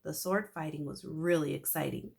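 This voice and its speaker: Woman teacher reading a kids' book aloud, lowish gravelly voice, very expressive swoops. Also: moderate pace. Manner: expressive, animated storytelling.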